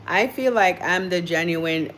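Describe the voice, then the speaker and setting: A woman's voice: fairly low pitch, unhurried, fairly bright tone, a little hiss or hum, low-quality recording. A woman with a Jamaican accent, raised in the United States, chatting casually with viewers on a live stream and answering their comments with easy laughter.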